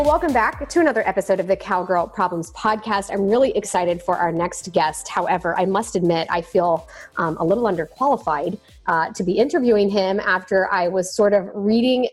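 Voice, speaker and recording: A woman's voice: medium pitch, breathy edge. A woman hosting a podcast, introducing the show and interviewing a guest with bubbly enthusiasm. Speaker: podcast host. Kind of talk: scripted intro then casual interview.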